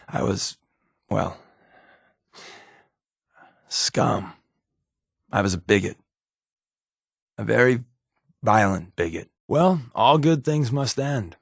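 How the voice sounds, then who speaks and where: A man's voice: lowish voice, vocal fry, husky, no echo, studio quality, heavily acted. A man with an American accent playing a wry, evasive spy companion in a video game, talking conversationally with hesitant asides. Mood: confessional, somber, then casual, wry.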